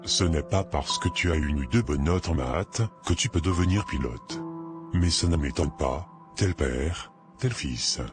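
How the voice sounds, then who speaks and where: Loud music plays behind a very deep male voice. A man acts out a cruel animated stepfather, scornful and domineering, with menace in how he talks down to others. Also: a little slow. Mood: scornful, menacing. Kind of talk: dramatic dialogue in an animated short.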